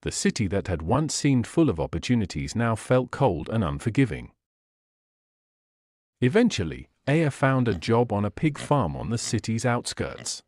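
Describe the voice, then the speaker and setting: A man narrating a scripted folktale in a dramatic, measured storytelling manner. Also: on the low side, big pitch swings, darkish tone, no echo, studio quality.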